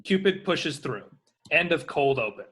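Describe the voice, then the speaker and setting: A man's voice: a little high, sing-song, normal speed, a bit bright, husky. A man reading screen directions as scripted narration at a table read.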